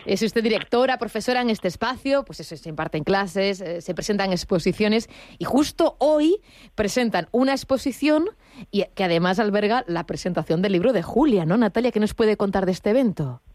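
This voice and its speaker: Teasing female radio host, lively chat. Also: medium pitch, moderate pace, no room sound. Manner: playful, teasing.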